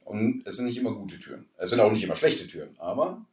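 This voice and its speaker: A man's voice: on the low side, lively intonation, quick pace. A man, chatting casually as a podcast co-host. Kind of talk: casual nerd chat. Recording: echoey room.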